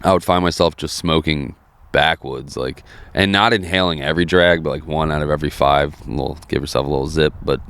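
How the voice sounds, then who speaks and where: A man's voice: very deep voice, a little fast, gravelly, a bit bright, smooth. A man in his thirties from New Jersey, co-hosting a podcast in casual, profane chat while smoking a cigar. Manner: laid-back, profane storytelling. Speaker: podcast co-host.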